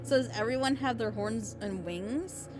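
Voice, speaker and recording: A woman's voice: on the high side, moderate pace. A woman giving casual, critical reaction commentary, explaining her opinions as she watches. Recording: voice over loud music.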